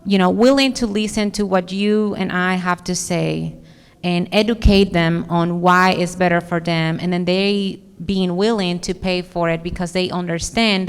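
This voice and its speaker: A woman's voice: fairly low pitch, clean tone. A woman from the Dominican Republic with a Dominican accent, a conference speaker earnestly answering audience questions and searching for her words. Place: large conference hall. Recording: echoey hall reverb.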